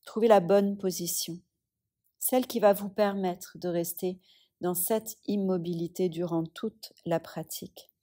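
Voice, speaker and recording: A woman yoga teacher guiding a yoga nidra relaxation, speaking softly and soothingly with long pauses. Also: lowish voice, steady tone.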